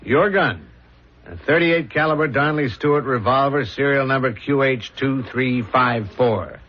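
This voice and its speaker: An actor as a dry, measured police detective, muffled. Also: male voice, medium pitch, close to an everyday voice. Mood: calm, insistent. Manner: measured, drily matter-of-fact. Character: calm, confident police detective, smoothly insistent interrogator. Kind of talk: old-fashioned radio drama acting. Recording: dull old radio broadcast recording.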